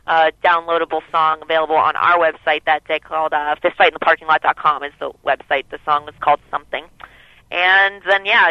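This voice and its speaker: A woman who sings lead in a band, with a lower voice, talking in a relaxed, rambling way with many fillers during a phone interview. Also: no echo. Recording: dull lo-fi phone line.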